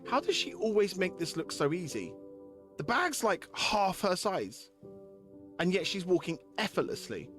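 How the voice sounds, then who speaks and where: A man's voice: sits high, big pitch swings, measured pace, fairly bright tone, soft background music. A man live-streaming a game, chatting casually with viewers, repeating himself and reading story text aloud. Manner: repeats phrases while addressing chatters.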